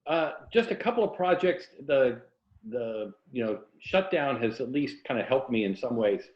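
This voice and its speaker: A man's voice: mid-range voice, very animated, moderate pace. Middle-aged or older man, roomy dull recording. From Tampa, Florida. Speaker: interview guest, writer. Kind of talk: casual conversational interview.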